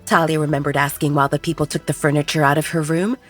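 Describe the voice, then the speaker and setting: A woman reading a fantasy story aloud in an even, measured way. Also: sits low, faint music behind. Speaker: audiobook narrator. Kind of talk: scripted storybook narration.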